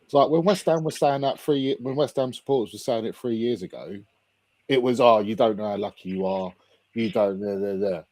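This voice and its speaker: Husky, blunt football fan. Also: male voice, fairly low pitch, fairly quick, a little mellow, no echo. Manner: blunt, sarcastic, swears. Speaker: podcast panelist. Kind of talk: casual chat.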